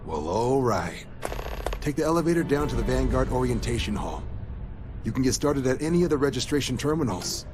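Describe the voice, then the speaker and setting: A man's voice: medium pitch, sing-song, fairly quick, background noise, natural voice. A male actor playing an assured military recruiter in a space game, with a folksy, persuasive manner. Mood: helpful. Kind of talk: video game dialogue.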